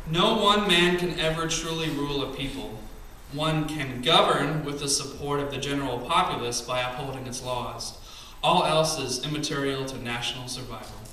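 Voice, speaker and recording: A man in his thirties reading his poems on a small cafe stage, emphatic and rhythmic, with quiet asides between poems. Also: fairly high pitch, a little hiss or hum. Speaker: spoken word poet. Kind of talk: spoken word poetry reading. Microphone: stand mic, far camera. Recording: echoey venue, camera from far off.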